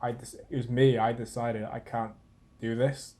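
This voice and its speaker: Young guy from wales chatting on a podcast, laid back, warm mellow voice. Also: medium pitch, level tone, normal speed. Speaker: guest. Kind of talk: casual chat.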